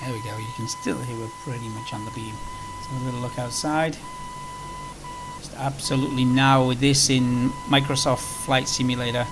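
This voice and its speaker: A man's voice: medium pitch, steady tone, moderate pace. A man giving casual, unscripted flight simulator commentary, rambling along in an easygoing way as he plays and explains. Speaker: flight simulator video presenter. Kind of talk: casual tutorial commentary while playing. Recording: clearly noisy with background hum.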